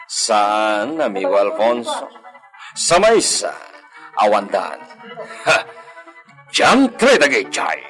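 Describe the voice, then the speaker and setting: Slow, scheming landowner played by a man. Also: medium pitch, fairly bright tone. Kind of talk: Ilocano radio drama acting.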